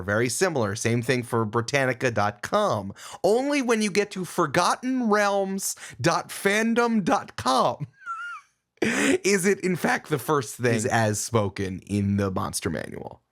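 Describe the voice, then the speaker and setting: A man talking casually as a podcast guest, opinionated and animated, often digressing as he argues his point. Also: mid-range voice.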